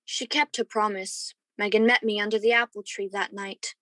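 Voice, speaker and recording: Woman playing an earnest, lovestruck young gentleman. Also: mid-range voice, flat delivery, normal speed, soft background music, close to an everyday voice. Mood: wistful. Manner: tender, romantic, earnest. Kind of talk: radio play acting and narration.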